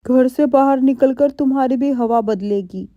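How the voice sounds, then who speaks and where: Very mellow, scheming husband crow played by a woman. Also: high-pitched, normal speed, no echo, highs cut off, natural voice. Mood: falsely sweet. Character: scheming, selfish husband crow, sweet-talking.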